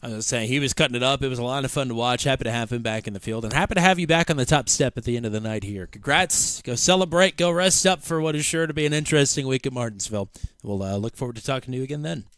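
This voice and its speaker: A man's voice: medium pitch, racing, a bit bright, smooth, dry recording. A man hosting racing commentary, upbeat, while sick and losing his voice. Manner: upbeat, rattles off schedule. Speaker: race commentator host. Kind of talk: sim racing broadcast commentary.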